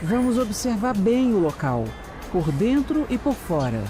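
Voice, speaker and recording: A brazilian lady detective, calm n analytical, audio drama, music and street noise behind her. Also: mid-range voice, a little slow. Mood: focused, wary. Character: Shirley, composed, analytical female detective narrating her case. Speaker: lead actress and narrator. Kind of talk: audio drama narration and dialogue. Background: music, urban street ambience with vehicles.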